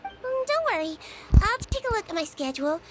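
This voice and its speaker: A woman voicing a small, gentle, matter-of-fact nonhuman nurse in a video game, with a measured, helpful manner. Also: extremely high pitch, sing-song, a little hiss or hum. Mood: calm, advising.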